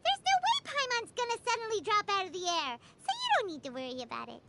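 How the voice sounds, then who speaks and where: A woman voicing a small, childlike, self-important flying fairy companion in a video game, squeaky and exclaiming. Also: huge pitch swings, fast, very noisy, full character voice. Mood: touched, worried. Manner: refers to self in third person, exclaiming. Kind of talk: scripted video game dialogue. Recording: music behind the voice.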